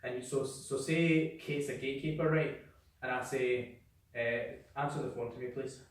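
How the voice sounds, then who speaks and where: A man's voice: mid-range voice, steady tone, a little slow. A man giving a blunt, sweary sales training talk to a small group, acting out how people sound. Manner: blunt, swears freely, acts out voices. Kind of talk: workshop talk. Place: indoor room with a small group. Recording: echoey room, mic far off.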